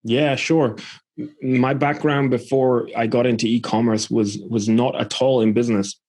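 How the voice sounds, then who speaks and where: A man's voice: medium pitch, steady tone, moderate pace, a little mellow. A man from Scotland talking as a podcast guest, explaining his experience in a plain-spoken, conversational way. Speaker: podcast guest, e-commerce entrepreneur. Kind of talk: conversational interview storytelling.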